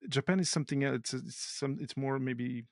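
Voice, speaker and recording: Man with gravelly voice. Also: mid-range voice, fairly quick, no room sound, studio quality. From Portugal. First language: Portuguese. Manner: thoughtful, searches for words aloud. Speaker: podcast guest. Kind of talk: casual conversation.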